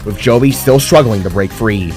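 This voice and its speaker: A man's voice: on the low side, moderate pace, a little mellow. A man reading scripted fantasy narration in a dramatic storytelling style. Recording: music behind the voice. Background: music.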